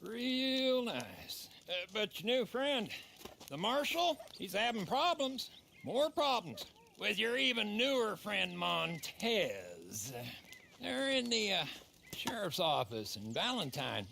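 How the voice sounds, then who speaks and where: An actor playing a sly, folksy, clapped-out old drifter with an American drawl in a Western game cutscene. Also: male voice, high-pitched, very animated, takes it slowly, creaky, sharp tone, slight background noise, full character voice. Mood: sly, coaxing. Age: older. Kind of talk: video game cutscene acting.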